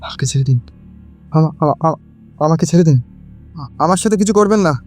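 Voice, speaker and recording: A man's voice: a little high, fairly quick, a little mellow, soft background music, lightly acted. A man playing a terrified captive in an audio story, stammering and pleading for release.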